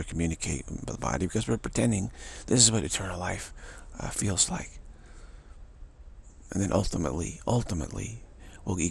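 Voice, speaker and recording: A man with a deep voice giving a rambling spiritual monologue, often repeating words and chuckling. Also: gravelly, husky.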